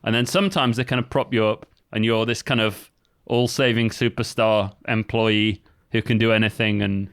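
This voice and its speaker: A man's voice: fairly low pitch, steady tone, normal speed, few pauses, fairly bright tone, clean tone, no echo, clean studio sound. A man co-hosting a podcast, explaining things in an easygoing way with lots of fillers. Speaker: podcast co-host. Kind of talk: casual chat.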